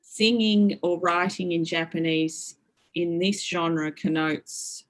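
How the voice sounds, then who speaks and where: A middle-aged woman speaks as an academic guest in an unhurried, drawn-out way, her voice sitting low for a woman. She thinks aloud, circling back with fillers and restarted phrases. Her tone stays conversational and explanatory. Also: fairly even intonation, low-quality recording. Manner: thoughtful, lots of fillers and restarts. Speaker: interview guest, media lecturer. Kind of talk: academic interview talk.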